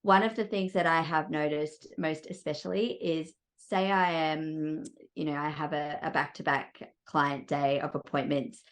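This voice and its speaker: A woman's voice: deep voice, steady tone, unhurried. An Australian woman, an interior designer and mentor, chatting in a casual podcast interview and rambling along with plenty of "you know"s.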